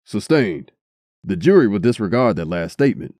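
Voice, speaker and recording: A man's voice: lowish voice, sing-song, normal speed, darkish tone, dry recording, clean studio sound. Commanding male judge with varied intonation acted. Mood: official. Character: authoritative male courtroom judge. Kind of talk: animated comedy courtroom dialogue.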